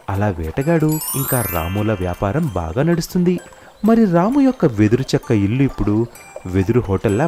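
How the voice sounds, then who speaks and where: నీతి కథను రాసిన పాఠంలా వివరిస్తున్న పురుష వ్యాఖ్యాత. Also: male voice, lowish voice, warm tone. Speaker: narrator. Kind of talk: scripted moral story narration.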